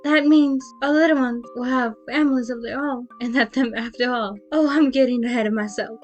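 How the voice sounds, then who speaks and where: A woman voicing a warm, spirited and fretful farm wife in an amateur fan dub. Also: fairly high pitch, steady tone, brisk, highs cut off, soft background music, natural voice. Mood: delighted.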